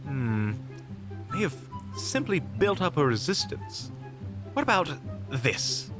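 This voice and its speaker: A man's voice: on the high side, wildly swooping pitch, slow pace, fairly bright tone, soft background music, exaggerated voice. A man playing a polite, unflappable monster hunter in an audio roleplay, speaking in a formal, prim, theatrical manner. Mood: cool suspicion. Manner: formal, prim, wryly courteous.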